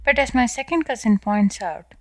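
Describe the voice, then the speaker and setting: A woman in her thirties from South India with an Indian accent, reading her own scripted personal essay in a measured, earnest way. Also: fairly high pitch, expressive, darkish tone, dry recording. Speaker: podcast essayist. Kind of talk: first-person scripted narration.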